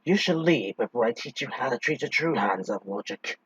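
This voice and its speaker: A husky, mid-pitched male villain, acted by a man who toys with his victim. He is mocking, crude and theatrical in an amateur audio drama, recorded dry and a little dull. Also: animated, a little fast, a bit bright, no echo.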